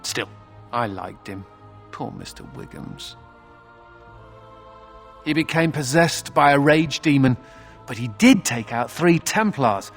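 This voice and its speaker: An actor playing a wry, flippant runaway mage in a video game, with a sardonic, conversational manner. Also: male voice, medium pitch, expressive, slow pace, gravelly, pauses often, faint music behind. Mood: wry, joking. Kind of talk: video game character acting.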